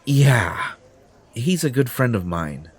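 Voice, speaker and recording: Man playing a slow, smug, possessive superhero. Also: medium pitch, very animated, husky, full character voice. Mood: smug, coaxing. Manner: pompous, self-satisfied, condescending. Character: smug, possessive, entitled male superhero on a forced date. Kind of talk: audio roleplay acting addressed to the listener.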